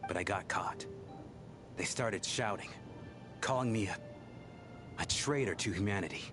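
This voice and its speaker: A lowish-voiced, gallant ghost of a bodyguard acted by a man for a video game. He speaks earnestly and wistfully, weighed down by remorse and quick to blame himself. Game music plays clearly behind him. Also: close to an everyday voice. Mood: sorrowful recounting. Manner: earnest, wistful, self-effacing.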